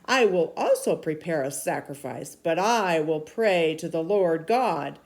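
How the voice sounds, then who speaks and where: A woman voicing a bold, commanding male prophet in a children's storybook, with loud, emphatic delivery. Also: sits low, animated, a little slow.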